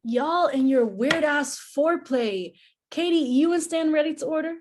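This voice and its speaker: A woman's voice: high-pitched, moderate pace, background noise, natural voice. A woman acting a blunt, unbothered twenty-five-year-old in a screenplay table read. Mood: exasperated, wry.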